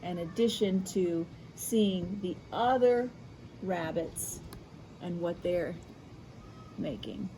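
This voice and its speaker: An American woman reading a picture book aloud to children in an expressive way, adding explanatory asides. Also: fairly low pitch, slight background noise, rough recording. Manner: animated, unhurried, addressing children. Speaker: school media specialist reading aloud.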